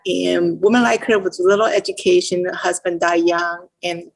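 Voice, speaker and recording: Lower-pitched middle-aged woman, Taiwanese accent. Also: fairly even intonation, creaky, low-quality recording. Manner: halting, non-native phrasing. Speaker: interview guest, nonprofit founder. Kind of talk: conversational personal storytelling.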